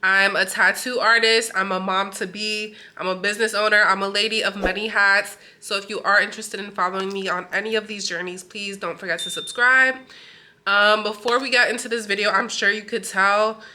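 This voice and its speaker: A woman's voice: medium pitch, fairly even intonation, a little fast, sharp tone. A woman tattoo artist chatting casually in a vlog, her voice stuffy and nasal from a cold, with many "like" fillers.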